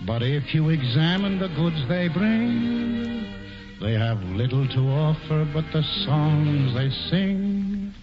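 An aging pompous governor. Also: male voice, fairly high pitch, animated, over music. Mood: wistful crooning. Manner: slow crooning singing, then blustering commands. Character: aging pompous male colonial governor courting a young maiden. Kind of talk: 1940s radio musical drama. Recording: dull vintage radio broadcast with loud orchestra.

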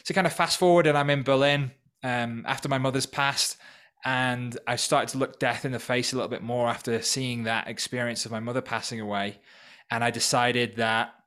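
A man's voice: mid-range voice, steady tone, moderate pace, a bit bright, clear, resonant tone. A man, a podcast guest frankly recounting his life in a reflective way. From North Wales, UK. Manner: reflective, frank, with frequent restarts. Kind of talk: candid personal storytelling.

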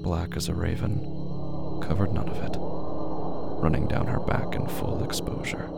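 A horror fiction narrator with a gravelly, partly whispered voice, delivering short, deliberate, dramatic phrases. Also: low-pitched, steady tone. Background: music.

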